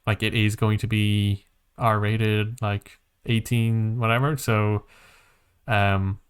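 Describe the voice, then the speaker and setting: Smooth, low-voiced man chatting on a podcast. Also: little pitch movement, slow. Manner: hesitant, rambling, thinking aloud. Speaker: podcast host. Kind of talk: casual podcast chat about games and films.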